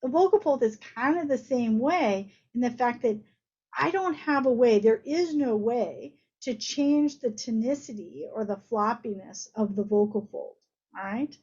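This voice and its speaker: Woman doctor explaining stuff in a lecture, chatty, highish voice, bit muffled sound. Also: a little slow, darkish tone, slightly rough. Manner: explanatory, conversational, with everyday analogies. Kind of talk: informal medical lecture.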